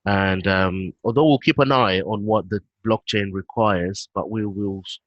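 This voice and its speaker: A British man on a video call, explaining his work in a relaxed way with frequent fillers. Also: lowish voice, measured pace, no room sound, highs cut off. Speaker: guest, head of operations.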